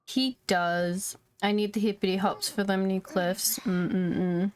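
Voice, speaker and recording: Slow-paced casual woman streamer. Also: medium pitch, little pitch movement, smooth. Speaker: live game streamer. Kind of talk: casual gaming livestream chat.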